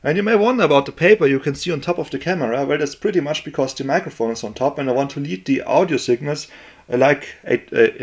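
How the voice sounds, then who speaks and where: A man's voice: medium pitch, creaky. A man with a German accent, a native German speaker, giving a casual hobbyist demonstration. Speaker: amateur electronics hobbyist presenter.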